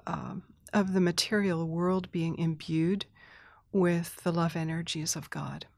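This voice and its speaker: Thoughtful, softly laughing woman interviewee. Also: on the low side, steady tone, a little slow, no echo. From Thailand. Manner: thoughtful, with restarts, soft laughs and throat clearing. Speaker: interview guest. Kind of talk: reflective conversational interview.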